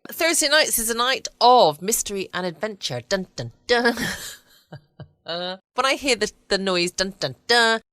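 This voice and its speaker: A woman's voice: on the low side, bright, forceful tone, dry recording. A middle-aged woman with a southern English accent, chatting in a playful, rambling way as a late-night podcast host. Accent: British, southern English. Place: dry studio.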